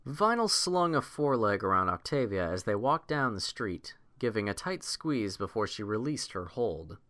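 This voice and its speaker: A man narrating a scripted story as an audiobook reader, in measured literary storytelling. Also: fairly low pitch, sing-song, a bit bright.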